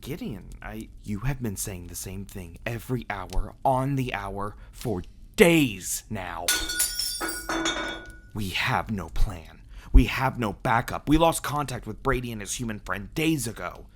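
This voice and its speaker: A man's voice: fairly low pitch, expressive, unhurried. A man playing a brooding, bitter vampire in an ASMR audio roleplay. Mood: angry, accusing. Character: brooding, bitter male vampire, resentful of his absent guardians.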